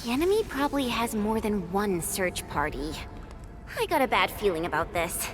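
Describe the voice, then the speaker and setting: A woman playing a spirited, wary young adventurer in a video game, expressive and casual in delivery. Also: medium pitch, moderate pace, lightly acted. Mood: uneasy, spirited. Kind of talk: video game character acting. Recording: voice over game music.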